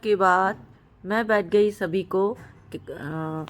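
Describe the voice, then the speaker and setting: A hoarse woman in her thirties from central India, narrating a vlog outdoors on a rooftop and explaining the customs with devotion. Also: medium pitch, drawn-out words. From Madhya Pradesh, India. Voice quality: husky. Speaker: vlogger.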